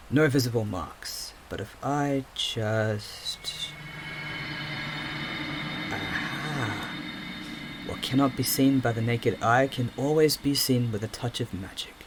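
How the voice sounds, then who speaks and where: A man playing a restless, overthinking investigator with magical sight in an audio drama, muttering haltingly to himself. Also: mid-range voice, fairly bright tone, a little hiss or hum. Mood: concentrating.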